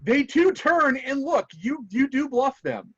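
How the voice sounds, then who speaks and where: A man's voice: very high voice, sing-song, measured pace, breathy edge, no room sound, highs cut off. A man running a tabletop roleplaying game as game master, narrating animatedly and laughing often.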